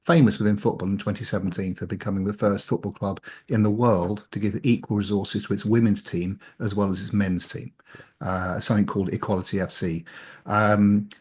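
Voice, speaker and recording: A man's voice: lowish voice, fairly even intonation, fairly quick, a little mellow, slightly rough. A man chatting casually as an interview guest, hesitant and self-deprecating. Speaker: interview guest, audio drama writer.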